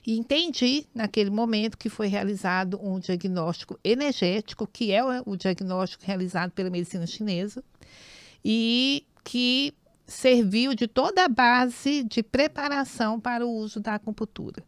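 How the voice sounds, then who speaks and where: A woman's voice: on the high side, normal speed, dry recording. A Brazilian woman in her late fifties, a podcast guest talking about herself in a conversational, storytelling way. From São Paulo and Goiânia, Brazil. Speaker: podcast guest, nurse and acupuncturist.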